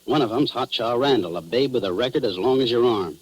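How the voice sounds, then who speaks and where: A man's voice: mid-range voice, lively intonation, brisk, lightly acted, rough recording. An actor playing a streetwise, furtive undercover police man in a 1940s-style radio drama, slangy and clipped, on a hissy old recording. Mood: furtive, businesslike.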